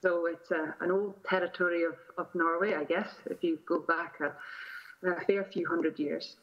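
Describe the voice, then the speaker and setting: A woman's voice: on the low side, fairly even intonation, normal speed. A woman with a Shetland Scottish accent, giving a conversational address as a conference panelist. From Shetland Islands, Scotland. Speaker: energy sector representative, panel speaker. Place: remote call into a conference. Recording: dull lo-fi video call audio.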